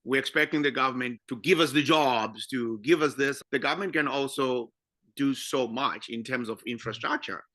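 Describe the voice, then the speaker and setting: A man's voice: medium pitch, normal speed, bright, forceful tone, no room sound. A man with a Zimbabwean accent giving his opinions conversationally as a podcast guest.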